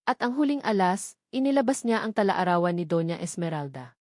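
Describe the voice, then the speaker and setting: A woman's voice: lowish voice, expressive, fairly quick, a little mellow, dry recording, clean studio sound. A woman reading a scripted dramatic story as its narrator.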